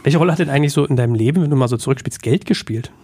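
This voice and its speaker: A man's voice: mid-range voice, racing, a little mellow, husky. A man hosting a casual interview, asking informal, personal questions in a conversational way. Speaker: interview host.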